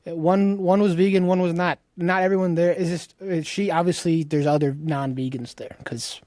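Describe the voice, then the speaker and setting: A man's voice: fairly high pitch, steady tone, moderate pace, darkish tone. A man in his thirties chatting casually as a podcast co-host, blunt and free with swearing. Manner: blunt, profane. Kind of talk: casual chat.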